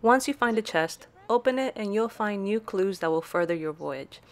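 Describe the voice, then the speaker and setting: A woman's voice: medium pitch, lively intonation, normal speed. A woman reading scripted, step-by-step tutorial narration for a video game guide.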